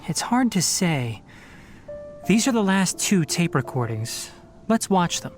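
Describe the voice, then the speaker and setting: A man's voice: high voice, sing-song, unhurried, soft background music. An actor playing a thoughtful young man who investigates and explains what he finds in a game. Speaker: game character.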